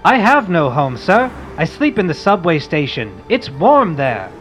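A man's voice: on the high side, wildly swooping pitch, unhurried, a bit bright, soft background music, heavily acted. A man playing a polite, awed homeless orphan boy in an amateur radio play. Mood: earnest, polite.

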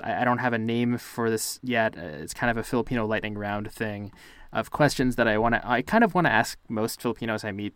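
A man hosting a podcast interview, thinking out loud as he phrases his questions. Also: on the low side, no echo. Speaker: podcast host. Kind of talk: relaxed interviewing.

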